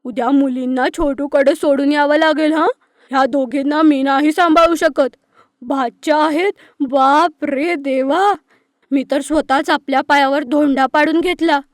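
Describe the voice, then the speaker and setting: A woman playing a put-upon, scolding aunt of two naughty young nieces in an animated story. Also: high voice, unhurried, dry recording, studio quality. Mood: exasperated. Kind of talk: animated moral story dialogue.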